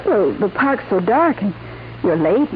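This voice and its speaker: On a tinny, faintly noisy old recording, an adoring young sweetheart in 1940s radio drama. She is girlish and timid, and her pitch moves about freely. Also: big pitch swings, measured pace. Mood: nervous, relieved.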